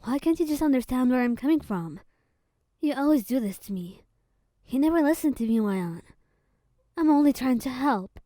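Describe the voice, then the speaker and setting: A woman voicing a young male lover who apologizes in a soft, whispery voice after snapping in anger. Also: medium pitch, slow pace, pauses often, slightly rough, no room sound, clean studio sound. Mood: frustrated. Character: young man lover, remorseful after an outburst.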